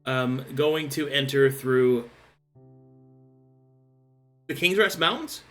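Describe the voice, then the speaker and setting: Bright excitable man running the game. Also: medium pitch, slow, faint music behind. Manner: excitable, bursts into loud laughing shouts. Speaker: game master. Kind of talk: casual chat ending a tabletop session.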